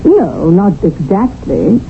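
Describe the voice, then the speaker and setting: An actress playing a seductive, goading temptress in a 1970s radio drama, with slow, insistent, coaxing delivery. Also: female voice, fairly low pitch, big pitch swings, heavily acted. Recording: tinny, band-limited old radio broadcast, faint noise.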